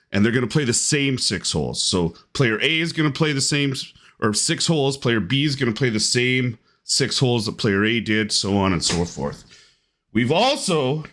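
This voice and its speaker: A man's voice: mid-range voice, a bit bright. A man hosting and commentating a live online golf game tournament in upbeat play-by-play.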